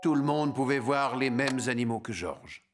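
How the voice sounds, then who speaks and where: A man narrating a children's cartoon as a wry, playful storyteller with expressive delivery. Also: mid-range voice, normal speed, over music, lightly acted.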